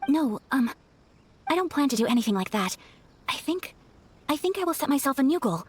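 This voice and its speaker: A woman playing a refined, earnest young noblewoman in a video game, speaking politely and formally in a gentle, hesitant way. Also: high-pitched, big pitch swings, brisk. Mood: shy, resolved. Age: young adult. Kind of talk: video game character acting. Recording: faint music behind the voice.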